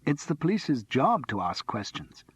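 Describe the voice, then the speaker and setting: A man reading a calm, patient man. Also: mid-range voice, unhurried, husky, dry recording, heavily acted. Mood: reassuring. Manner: soothing, patient. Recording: slightly muffled dry recording.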